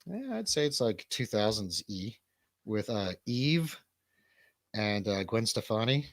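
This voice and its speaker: Male host, fairly low register, dry sound; irreverent, loose comedic chat with profanity. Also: very animated, unhurried, no room sound. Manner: irreverent, sweary, rambling. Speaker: radio show host on a livestream.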